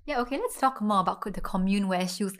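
A woman's voice: mid-range voice, expressive, fast talker, a bit bright. A woman co-hosting a casual podcast, chatting and asking broad questions. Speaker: podcast co-host.

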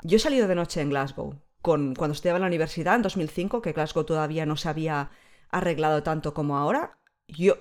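Spanish-speaking woman explaining at length. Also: deep voice. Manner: fluent, explanatory, talks in long runs. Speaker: podcast guest. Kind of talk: casual conversation.

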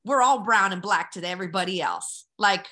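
Brash American woman, bright forceful voice. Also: mid-range voice, normal speed. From Colorado, United States. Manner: brash, slangy straight talk with swearing. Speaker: podcast guest. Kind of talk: casual, opinionated interview chat.

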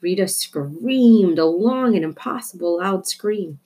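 Woman reading a children's book aloud, lowish and breathy, playful emphasis. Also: slow pace. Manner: expressive storytelling, playful emphasis. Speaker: reader.

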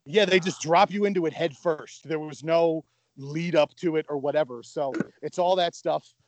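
A man's voice: fairly high pitch, normal speed. A man co-hosting a podcast in casual chat, enthusiastic, often stammering and restarting his sentences. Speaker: podcast co-host.

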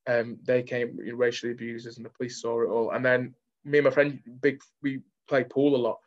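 Casting: young Mancunian male, candid interview guest, conversational delivery, mid-pitched voice on muffled lo-fi audio. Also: flat delivery, a little fast. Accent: Manchester. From Manchester, England.

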